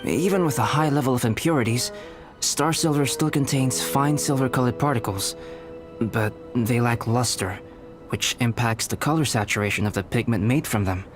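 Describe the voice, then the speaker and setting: A man playing a calm, scholarly young alchemist in a video game, speaking in a measured, precise way. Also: mid-range voice, natural voice. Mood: composed, explanatory. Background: background music.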